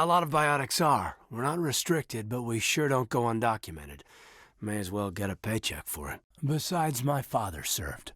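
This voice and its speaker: A man playing an earnest, guarded, soft-spoken marine officer in a video game, husky and a little hesitant. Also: mid-range voice, no room sound, studio quality. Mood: earnest, reflective. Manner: hesitant, earnest, halting when personal. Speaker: video game character voice actor. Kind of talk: dramatic video game dialogue.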